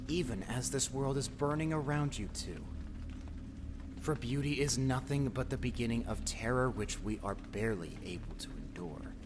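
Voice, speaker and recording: An actor playing a menacing, taunting, grandiose tormentor in an audio drama, swinging between whispers and shouts. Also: male voice, medium pitch, close to an everyday voice. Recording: long hall reverb, faint noise.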